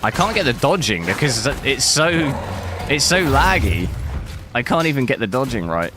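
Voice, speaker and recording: A man streaming a video game live, talking casually through the settings, with a hoarse voice he says he is losing. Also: medium pitch, very animated, moderate pace. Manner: thinks aloud casually, bursts into loud dismayed cries. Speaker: gaming streamer. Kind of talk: live gameplay commentary. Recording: noticeable background noise.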